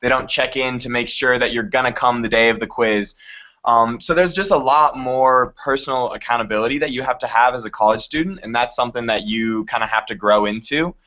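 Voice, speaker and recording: A man's voice: mid-range voice, fairly quick, bright, forceful tone, highs cut off. A man moderating a live student web chat, upbeat and conversational.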